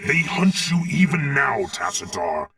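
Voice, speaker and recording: A hearty alien warrior in a robotic body, from a video game. His voice is bright and forceful, swooping widely as he speaks formally and defiantly, sounding distant and radio-like. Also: mid-range voice, big pitch swings, unhurried, slightly rough, dry recording, full character voice. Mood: urgent, loyal. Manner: grandiloquent, spirited, formal.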